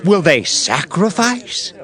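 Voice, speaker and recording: A man playing a scornful enemy leader in a Bible audio drama, jeering with drawn-out taunts. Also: high voice, sing-song, sharp tone, full character voice. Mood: scornful mockery.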